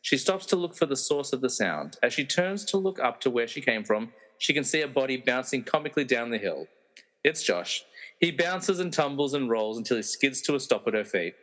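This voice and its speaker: A man's voice: mid-range voice, lively intonation, a little fast, a bit bright, low-quality recording. A man narrating a radio play, reading out scripted scene directions.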